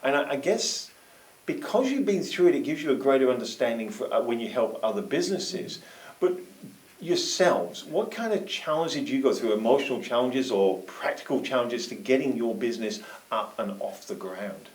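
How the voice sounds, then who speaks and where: A man hosting a casual business interview, upbeat and quick to laugh, often restarting his questions. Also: medium pitch, animated. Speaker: interview host. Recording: echoey room.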